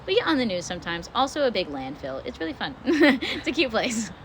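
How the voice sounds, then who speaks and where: A woman from Louisiana telling her family story in a documentary interview, relaxed and wry. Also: fairly high pitch, a little fast, slight background noise. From Louisiana, United States.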